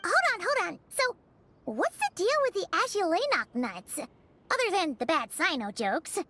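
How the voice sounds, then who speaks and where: A woman voicing a tiny, childlike fairy companion in a video game, cheeky and excitable, with a squeaky voice. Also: moderate pace, faint music behind, heavily acted. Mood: curious.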